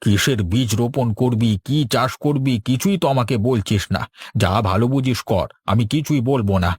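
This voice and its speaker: A man's voice: mid-range voice, moderate pace, darkish tone, slightly rough, no room sound, studio quality, natural voice. A man voicing a bitter, disapproving old farmer father in a cartoon, scolding and nagging. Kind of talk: cartoon dialogue.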